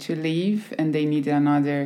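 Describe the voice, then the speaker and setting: A Brazilian woman in her thirties speaks English with a Brazilian Portuguese accent, her voice pitched low. She tells her story easily and breaks into soft laughs now and then. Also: fairly quick. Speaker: podcast guest. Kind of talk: casual conversation.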